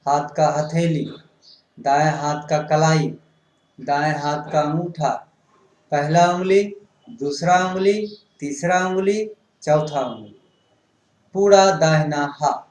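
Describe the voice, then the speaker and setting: Guy doing guided yoga relaxation, really slow short repeated phrases, echoey room. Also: fairly high pitch. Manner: instructing in short, repetitive phrases. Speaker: yoga instructor. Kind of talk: guided relaxation meditation instruction. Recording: roomy, echoing sound.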